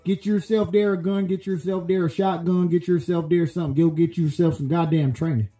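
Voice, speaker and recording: A man playing a crude, loudmouthed rural American voter who rants profanely and rambles. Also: high voice, steady tone, a little fast, soft background music, natural voice. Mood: ranting. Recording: echoey room.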